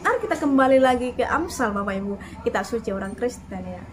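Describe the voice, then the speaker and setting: A woman in her thirties giving an animated, casual sermon-style talk, often addressing her listeners as "Bapak Ibu" and reading verses aloud. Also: a little high, fast, background noise. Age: 30s. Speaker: online preacher.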